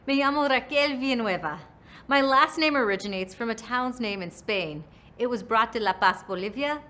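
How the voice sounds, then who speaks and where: Bright-voiced woman hosting a science show. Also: a little high. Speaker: host. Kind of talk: scripted bilingual hosting.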